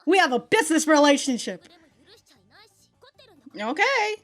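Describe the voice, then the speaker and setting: A woman giving casual, slangy reaction commentary as the host of a video, in an animated, informal way. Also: sits high, measured pace, faint music behind.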